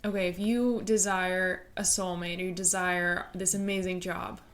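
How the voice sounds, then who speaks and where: A woman talking on a podcast in a calm, explanatory way. She lays out her points steadily and fills the pauses with soft agreeing murmurs. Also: fairly low pitch. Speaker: podcast co-host.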